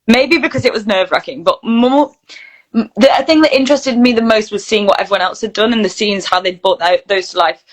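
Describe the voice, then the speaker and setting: A woman's voice: mid-range voice, brisk. A woman guest chatting informally and candidly in a casual interview. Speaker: interview guest, actor and voice reel studio founder.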